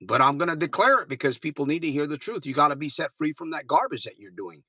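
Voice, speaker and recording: A man's voice: on the high side, animated, fast talker, sharp tone, slightly rough, no room sound, clean studio sound. A man in his fifties, a recovery coach giving an earnest motivational livestream talk, blunt and informal, repeating phrases for emphasis. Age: 50s. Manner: blunt and informal, swears casually.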